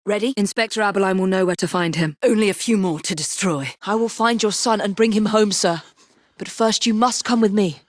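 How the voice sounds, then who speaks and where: Actress doing a young english victorian lady assassin for a video game, composed and determined, crisp formal speech, very dry close recording. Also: medium pitch, a little fast, no echo, lightly acted. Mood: composed, purposeful. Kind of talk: scripted video game voice lines.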